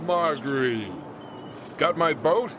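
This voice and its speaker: A man playing a confident, roguish soldier leader in a video game, brisk, informal and reassuring. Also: fairly high pitch, background noise. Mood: playful, easy. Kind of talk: dramatic video game cutscene acting. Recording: music behind.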